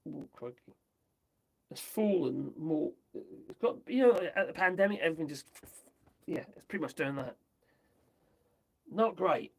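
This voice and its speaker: A man from the UK, chatting his way through the news on a livestream, rambling and tossing in tag questions, his voice going hoarse. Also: a little high, expressive, a little slow, long pauses. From United Kingdom. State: losing his voice. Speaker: livestream host. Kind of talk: casual commentary on news.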